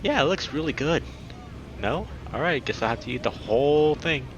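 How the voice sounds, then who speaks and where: A man's voice: on the high side, lively intonation, moderate pace, dull audio, voice pushed up. Man reading an easygoing, hungry animal guy, noisy recording. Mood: eager, casual. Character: easygoing, hungry young male animal friend. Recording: noisy background behind the voice.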